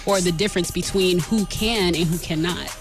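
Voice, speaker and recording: A woman's voice: on the low side, normal speed. A woman hosting a morning radio show in an upbeat, chatty, playful way. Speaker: radio host and DJ. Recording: music behind the voice. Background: music.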